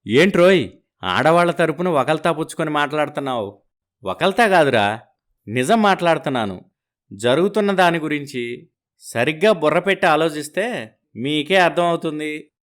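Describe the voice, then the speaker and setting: A man's voice: on the high side, lively intonation, moderate pace, long pauses, lightly acted. Man acting a slangy, gloomy young bachelor. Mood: mock-gloomy banter. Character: young bachelors bantering gloomily about marriage.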